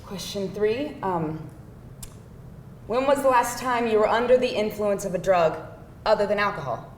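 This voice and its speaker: A woman's voice: mid-range voice, lively intonation, normal speed, close to an everyday voice. A woman playing a candid, feisty date with a hesitant, conversational delivery at a live screenplay table read. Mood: candid, tentative. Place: indoor room before a live audience. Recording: echoey room, distant mic, faint noise.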